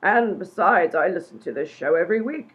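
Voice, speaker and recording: A woman's voice: lowish voice, animated, a little fast, husky. A woman playing a pompous, mystical psychic in a comic radio play, delivering theatrical, portentous pronouncements. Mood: matter-of-fact. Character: grandiose mystical female psychic, solemn and pompous. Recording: dull, lo-fi sound.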